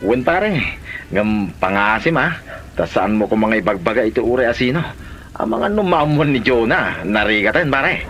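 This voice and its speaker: A smooth-talking Ilocano ladies' man, played by a male actor in a radio drama. His mid-pitched voice moves up and down a lot, over faint noise. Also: very animated, moderate pace, fairly bright tone. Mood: confiding. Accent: Filipino, Ilocano.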